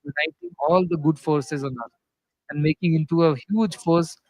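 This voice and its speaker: A man's voice: on the high side, moderate pace, a little mellow, no room sound, low-quality recording. A man giving an earnest, aphoristic formal speech at a festival.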